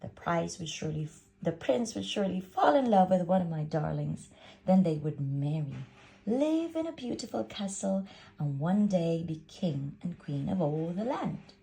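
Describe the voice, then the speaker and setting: Woman, storybook read-aloud, dramatic delivery, wide expressive pitch, slightly low, gravelly creak. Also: normal speed. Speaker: storybook reader.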